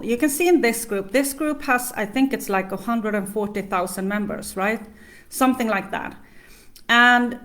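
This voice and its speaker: A woman's voice: on the high side, a bit bright. A middle-aged woman from Sweden with a Swedish accent, chatting to viewers as a business coach on a live stream, with many fillers.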